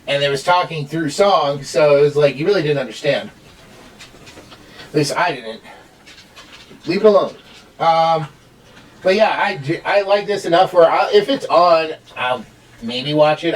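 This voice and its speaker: A man in middle age with a somewhat high-pitched voice hosts a casual review. He rambles and halts as he talks. The microphone picks him up from some distance. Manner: rambling, halting. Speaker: amateur reviewer hosting with a child. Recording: distant mic, home recording.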